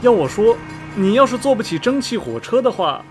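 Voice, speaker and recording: Man acting a chatty, high-pitched, animated ticket clerk. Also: a little fast, faint music behind. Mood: chatty, folksy. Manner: rambling, exclaiming, colloquial. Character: chatty middle-aged male ticket clerk, folksy and gossipy. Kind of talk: radio drama dialogue. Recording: faint background noise and ambience.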